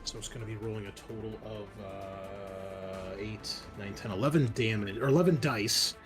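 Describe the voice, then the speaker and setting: A man's voice: lowish voice, moderate pace, music in the background. A man game mastering a tabletop roleplaying game, explaining rules and narrating the action in casual talk.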